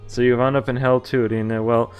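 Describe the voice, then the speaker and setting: A man's voice: medium pitch, little pitch movement, a little fast, smooth. A man streaming a game, reading its story aloud dramatically and breaking off into incredulous asides. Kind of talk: reading a visual novel aloud with spontaneous reactions. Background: game music.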